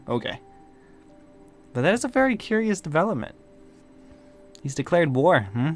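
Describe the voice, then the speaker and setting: A sarcastic, vengeful warlock from a game roleplay acted by a man. He sits a little high and swings freely up and down in pitch. His manner is theatrical and mocking, and music plays behind him. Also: sing-song, measured pace. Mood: gleeful, incredulous. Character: sarcastic, vengeful male warlock leading a coven. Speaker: roleplay actor. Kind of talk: improvised Minecraft supernatural roleplay.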